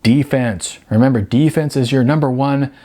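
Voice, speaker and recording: A man's voice: mid-range voice, moderate pace, warm tone, pitched above the performer's own voice, natural voice. A man voicing a no-nonsense kickboxing trainer in an audiobook, firm, clipped and instructive. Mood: instructing.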